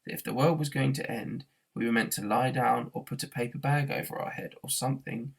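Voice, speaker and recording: A man's voice: medium pitch, brisk, creaky, slightly rough. A man voicing a tipsy, muddled ex-army drinker in a storybook. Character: sozzled male pub drinker, muddled old soldier. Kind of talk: storybook character voice.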